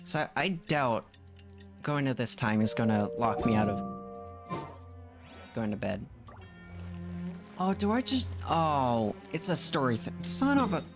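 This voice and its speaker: Slow-paced, animated game streamer musing aloud. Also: medium pitch, music in the background. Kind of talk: casual live gameplay commentary.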